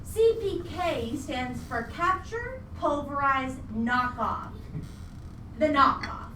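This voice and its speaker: A woman's voice: high-pitched, lively intonation, slow, pushed and bright, heavily acted. A woman acting a vengeful, gleefully demonic female ghost in a live radio play, with a theatrical, taunting delivery full of wordplay. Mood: gloating menace. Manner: theatrical, taunting, pun-heavy. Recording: echoey live venue, distant mic, noisy.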